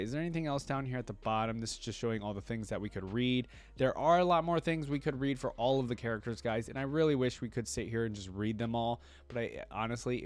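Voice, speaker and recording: A man hosting a reaction video, reading aloud and commenting casually in a conversational way, addressing his viewers directly. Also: on the low side.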